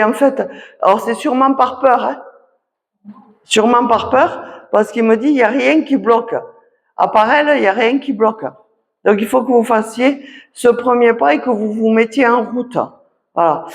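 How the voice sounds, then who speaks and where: A woman magnetiser giving readings at a public talk, speaking haltingly and often pausing on short confirmations. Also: a little high. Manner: halting, punctuates phrases with "voilà" and "d'accord". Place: association conference hall. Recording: long hall reverb.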